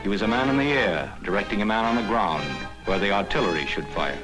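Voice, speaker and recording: A man's voice: lowish voice, expressive, quick pace. An American man delivering scripted narration in the style of a 1940s newsreel. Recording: 1940s film soundtrack with prominent music. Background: music.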